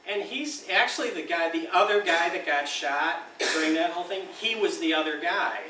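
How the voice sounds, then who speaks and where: A man's voice: a little high, moderate pace, pushed and bright, a little hiss or hum. A man, an amp designer, telling a rambling story in casual talk, often laughing and acting out what others said. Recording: roomy and distant with faint ambience.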